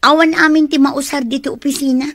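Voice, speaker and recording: A woman's voice: sits high, steady tone, fast, fairly bright tone, no echo, clean studio sound. An actress playing a greedy, goading female accomplice in an Ilocano radio drama, with an Ilocano accent. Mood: conniving.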